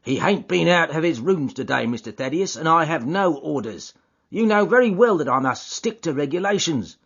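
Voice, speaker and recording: A gruff, burly porter and former prize fighter, as a male narrator puts him on, blunt and stubborn with rough, dropped grammar. His voice sits raised and husky, in a dull lo-fi audiobook recording. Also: on the high side, animated, moderate pace, fairly bright tone, pitched above the performer's own voice, exaggerated voice. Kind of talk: audiobook character voice.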